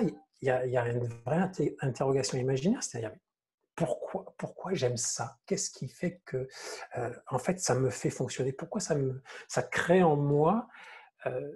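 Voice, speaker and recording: A man's voice: medium pitch, warm tone. A man who writes audio fiction, reflecting casually in a live online talk, hesitating and repeating words as he works out his thought.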